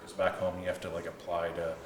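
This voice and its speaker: A man's voice: low-pitched, completely flat delivery, fast. A young man from South Africa, a football player, telling his story as an interview guest in an easygoing way, with lots of "like" and "you know". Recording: echoey room with mic at a distance and noise.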